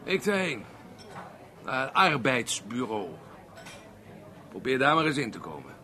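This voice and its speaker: An actor playing a drunk, aggrieved unemployed man rambling haltingly at a bar in a radio play. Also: mid-range voice, big pitch swings, sharp tone, a little hiss or hum. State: drunk (acted). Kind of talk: Dutch radio play monologue and dialogue.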